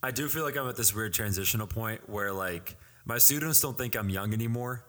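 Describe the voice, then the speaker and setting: A man's voice: fairly low pitch, brisk, a bit bright. A man in his twenties, a student pastor, co-hosting a casual podcast and speaking earnestly with many fillers and restarts. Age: 20s.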